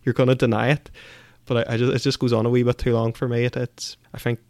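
A man's voice: mid-range voice, little pitch movement, fast talker, darkish tone, dry recording, clean studio sound. A man co-hosting a casual book discussion, giving opinions in hesitant phrasing with repeated words. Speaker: podcast co-host.

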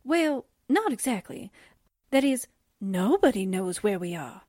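A woman's voice: medium pitch, sing-song, unhurried, darkish tone, no echo, clean studio sound. A woman playing a plucky but jittery newspaperwoman sidekick, slangy and wisecracking, in 1940s radio drama style. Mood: nervous bluster. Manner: wisecracking, blustering, folksy.

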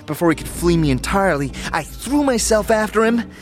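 An actor playing a vain, prickly warrior from another world, haughty and theatrical with archaic turns of phrase. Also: male voice, sits high, animated, fairly quick. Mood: self-important. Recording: music bed behind the voice.

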